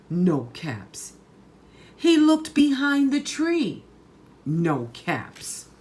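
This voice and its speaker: A woman reading a children's story aloud in a deliberate, emphatic, dramatic way. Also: a little high, a little hiss or hum. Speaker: storytime reader.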